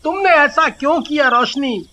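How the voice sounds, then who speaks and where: An earnest young man in love acted by a man speaks in an extremely high, piercingly bright voice. He is a tender-hearted figure in a horror story. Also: moderate pace, a little hiss or hum. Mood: hurt, earnest.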